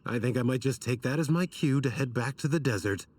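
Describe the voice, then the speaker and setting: An actor playing an earnest, troubled and apologetic desert scholar in a video game, wordy and theatrical in manner. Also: male voice, mid-range voice, fairly quick, far from the mic, slight background noise, close to an everyday voice. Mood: exasperated. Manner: wordy, self-conscious, theatrical.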